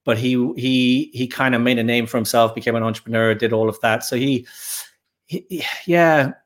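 A man, a podcast guest talking casually and thoughtfully, pausing often. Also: medium pitch, fairly even intonation. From Ireland. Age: 50s. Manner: thoughtful, halting, with frequent pauses and fillers. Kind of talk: casual interview talk.

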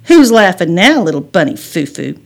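A woman voicing a spirited, excitable little girl who exclaims dramatically in a children's story. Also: fairly low pitch, normal speed, gravelly, full character voice. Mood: gloating.

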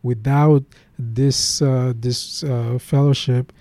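A young man with a Haitian accent tells his story in an interview, earnest and halting, his sentences full of restarts and fillers. His voice is very dark and mellow, with a fairly flat melody. The sound is dry and close. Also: medium pitch, no echo. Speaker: guest, program founder.

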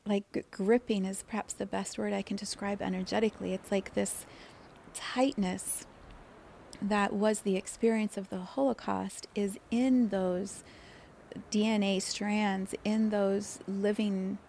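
A woman in her fifties talking alone in an earnest, meandering way, with frequent fillers, restarts and soft laughs. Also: mid-range voice, little pitch movement, measured pace, gravelly, a little hiss or hum. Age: 50s. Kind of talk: reflective solo talk.

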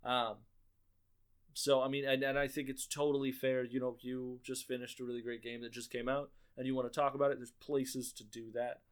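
A man with an ordinary mid-range voice co-hosts a gaming podcast. He talks in a relaxed, easygoing way and swears casually. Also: mid-range voice, brisk, clean tone. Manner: laid-back, profane, laughing asides. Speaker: podcast co-host. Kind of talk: casual chat.

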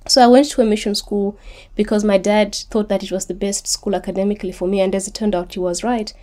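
Woman, late 30s, author guest, warm mellow tone, earnest and articulate. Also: mid-range voice, steady tone, quick pace. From Zimbabwe. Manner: articulate, earnest, often repeats words when restarting. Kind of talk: reflective interview answers.

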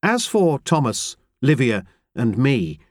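Slow, expressive male audiobook narrator. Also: medium pitch, dry recording, studio quality. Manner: measured, reflective, grave storytelling. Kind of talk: first-person literary narration.

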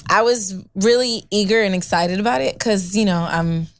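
A woman's voice: mid-range voice, normal speed. A young adult woman, a guest actress, chatting in a friendly, giggly way with lots of fillers. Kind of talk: casual interview.

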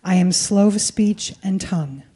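Mellow woman preaching. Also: mid-range voice, a little slow, breathy edge. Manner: conversational, colloquial. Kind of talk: sermon delivered in short phrases for live interpretation.

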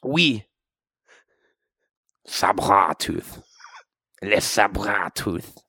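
A man plays a French Canadian superhero for an improvised comedy podcast. The voice is high and creaky, with a thick accent and broken English. He rambles along, amiable and hesitant. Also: lively intonation, a little slow, dry recording, studio quality, full character voice. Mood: chatty, earnest.

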